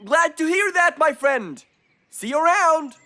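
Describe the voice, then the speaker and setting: A woman's voice: sits high, lively intonation, unhurried, bright, forceful tone, soft background music, heavily acted. A woman voicing a kindly, helpful fox with a friendly, patient manner in a children's cartoon.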